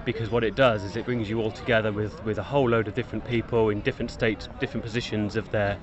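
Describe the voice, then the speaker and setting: A man, reflective and halting as he speaks. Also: fairly low pitch, fairly even intonation, muffled recording. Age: 50s. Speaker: parkrun runner interviewee. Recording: outdoors with crowd noise.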